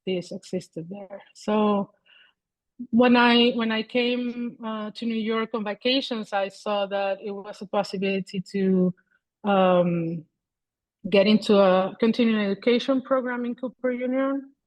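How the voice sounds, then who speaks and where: A Venezuelan woman in her forties speaks over a video call, thinking aloud and often circling back to restart a phrase. Her voice sits at an ordinary pitch and is captured close and dry, with no room behind it. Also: no room sound, poor audio. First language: Spanish. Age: 40s. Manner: thoughtful, halting, many restarts and fillers. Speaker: guest artist.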